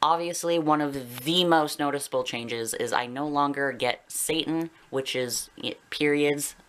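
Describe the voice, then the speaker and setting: A man's voice: fairly high pitch, a little slow, a bit bright, clean tone. A young adult man with a Canadian accent talking casually and candidly to viewers in a personal vlog, rambling a little. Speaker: video blogger.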